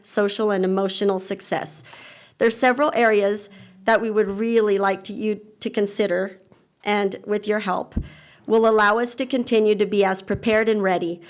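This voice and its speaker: A woman teacher giving an earnest, measured public comment at a school board meeting, reading a formal statement into a podium microphone. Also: medium pitch.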